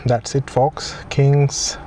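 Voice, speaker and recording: A man talks through a product in a casual, low-key way, taking his time between phrases. His pitch is average, and the delivery is plain and a bit hesitant. Clear vehicle noise sits behind the voice. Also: flat delivery, very slow, dark tone. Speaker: vlogger demonstrating a product. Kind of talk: casual unboxing and install walkthrough. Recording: clearly noisy, vehicle ambience.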